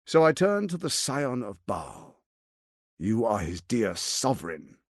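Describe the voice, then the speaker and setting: A scheming tyrant lord from a fantasy video game, silver-tongued and smug. His pitch swoops dramatically as he savours each word at an unhurried pace. The delivery is pompous and menacing, recorded very dry and close. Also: wildly swooping pitch, no echo, heavily acted. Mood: calculating. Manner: pompous, silky, menacing, theatrically emphatic.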